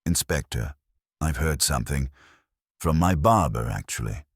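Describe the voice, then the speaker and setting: Pompous councillor voiced by a male narrator: deep, husky, swooping delivery, solemnly excited gossip for a radio mystery. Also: unhurried, no room sound, studio quality, heavily acted. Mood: excitedly confiding. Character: pompous, self-important male town councillor, eager gossip.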